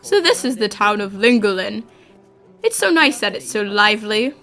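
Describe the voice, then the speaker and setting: A woman voicing an earnest, polite young boy, an inquisitive apprentice, in an animated fan dub. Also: medium pitch, moderate pace, faint music behind. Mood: curious.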